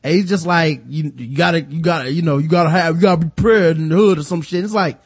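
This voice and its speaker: A man's voice: fairly high pitch, fast, slightly rough, soft background music. An American man hosting a casual, profane film discussion, animatedly retelling scenes and acting out mock dialogue. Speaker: movie review podcast host.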